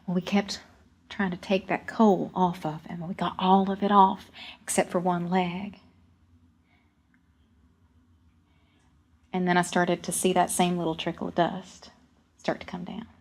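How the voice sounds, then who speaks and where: A woman's voice: fairly low pitch, steady tone, darkish tone, husky. Woman performing a folksy, earnest young coal miner. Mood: grave, hushed. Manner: folksy rural speech, halting with repeated words. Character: young coal miner recalling a deadly cave-in, plainspoken, earnest. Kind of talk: dramatic first-person storytelling monologue.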